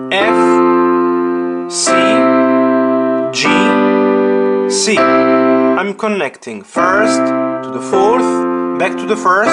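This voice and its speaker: A man giving a step-by-step piano lesson, explaining deliberately and emphatically and putting questions to the learner. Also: high-pitched. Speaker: piano teacher. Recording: piano playing alongside the voice. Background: piano chords.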